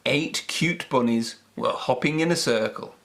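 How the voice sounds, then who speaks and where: A woman narrating a children's storybook aloud in a deliberate, expressive manner. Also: a bass voice.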